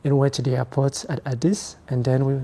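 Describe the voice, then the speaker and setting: A young man, his tone soft and mellow. He talks reflectively and haltingly, pausing to search for words as an interview guest. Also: medium pitch, fairly even intonation, slightly rough. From Ethiopia, raised in France. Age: 20s. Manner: reflective, hesitant, halting phrasing. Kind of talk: conversational interview.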